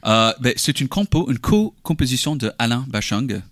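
A man's voice: mid-range voice, big pitch swings, moderate pace, a bit bright, no room sound, clean studio sound. A man who is not a native French speaker, co-hosting a radio music show in a casual, hesitant way with many fillers. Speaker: radio co-host.

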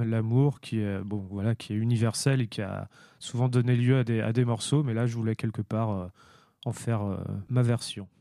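Mellow-voiced musician, dry and hesitant. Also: male voice, mid-range voice, no echo. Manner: thoughtful, hesitant with many fillers. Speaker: musician guest. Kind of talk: radio interview answers.

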